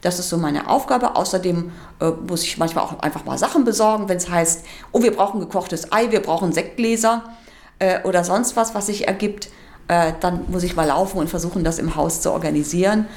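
A woman who moderates and directs workshops, giving unscripted interview answers and haltingly searching for words. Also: lowish voice, darkish tone.

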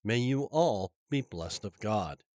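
A man teaching a Bible study as a pastor, speaking slowly and carefully in a formal tone. His voice sounds stuffy and congested, recorded close and dry with no room sound. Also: fairly low pitch, lively intonation, a little mellow, no echo. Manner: formal, deliberate delivery.

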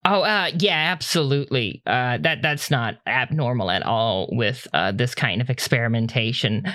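A man's voice: medium pitch, moderate pace, bright, forceful tone, dry recording, clean studio sound, close to an everyday voice. A man voicing a nervous, apologetic male research doctor with a nasal voice, stammering and fumbling for words. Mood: flustered.

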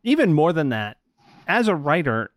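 A man's voice: on the high side, big pitch swings, normal speed, dry recording. A man who coaches writers, talking earnestly in a casual chat and laughing between points. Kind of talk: casual conversation.

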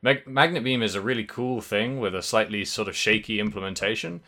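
A man's voice: fairly low pitch, fairly quick, pushed and bright. A young man co-hosting a podcast, chatting casually and rambling, correcting himself and swearing now and then. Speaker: podcast co-host. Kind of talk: casual podcast chat about a video game.

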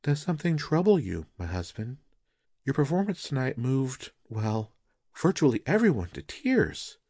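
A man voicing a gentle, devoted wife in a story, with soft, measured and formal speech. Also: medium pitch, big pitch swings, no echo, voice pushed up. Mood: concerned. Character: gentle, devoted wife of a Japanese musician, proud and concerned. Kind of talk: audiobook character dialogue.

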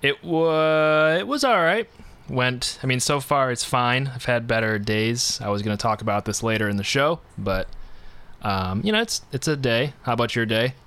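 A man hosting a comedic call-in radio show, taking calls with dry, deadpan banter. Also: mid-range voice, moderate pace, clean tone.

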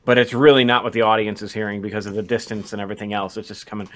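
A man's voice: on the low side, flat delivery, very fast, fairly bright tone. A man co-hosting a podcast in casual conversation, often stammering and restarting his phrases. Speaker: podcast co-host.

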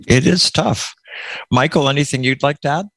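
A man hosting a panel discussion, speaking in a measured, wry way. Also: a little high, vocal fry, fairly bright tone, dry recording. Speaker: host.

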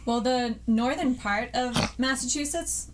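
A woman's voice: a little high, a little slow. A woman co-hosting a podcast, chatting casually and chiming in agreeably. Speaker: podcast co-host. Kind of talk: casual chat. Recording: noisy background.

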